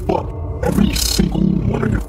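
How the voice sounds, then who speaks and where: A man's voice: normal speed, far from the mic, heavy background noise. A young man voicing a menacing male demon through a voice changer filter, snarling profane threats.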